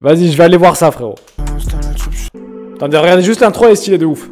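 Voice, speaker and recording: A young man from France chatting casually on a live stream, joking and teasing viewers in slangy talk with sudden loud bursts. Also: on the high side, fast talker, soft background music. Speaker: streamer reacting to viewers' music.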